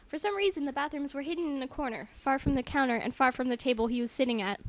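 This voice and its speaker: High-pitched young woman reading aloud with asides. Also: fast talker. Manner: giggly, reluctant, interjecting asides. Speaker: amateur reader. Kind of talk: dramatic reading with casual commentary. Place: room in a house. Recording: noisy home recording.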